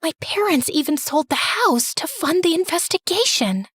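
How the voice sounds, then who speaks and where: A woman narrating a scripted animated story in the first person as a teenage girl, expressive and dramatic. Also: very high voice, normal speed, no echo, studio quality.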